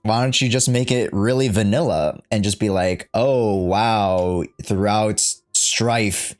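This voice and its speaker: A man's voice: lowish voice, slow, soft background music. A man livestreaming a casual, slangy reaction to a game, joking and rambling as he goes.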